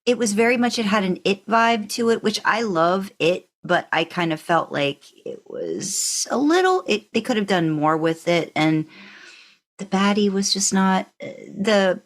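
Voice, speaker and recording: A woman's voice: mid-range voice, sing-song, measured pace. A woman co-hosting a podcast, chatting casually through a ranked countdown and repeating words for emphasis. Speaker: podcast co-host. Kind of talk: casual fan podcast chat, ranking countdown.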